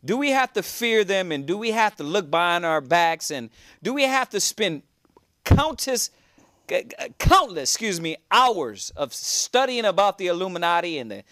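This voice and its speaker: A man's voice: high voice, sing-song, normal speed, fairly bright tone, no room sound, clean studio sound. A man teaching a religious class on a cable show, earnest and repetitive in his preaching.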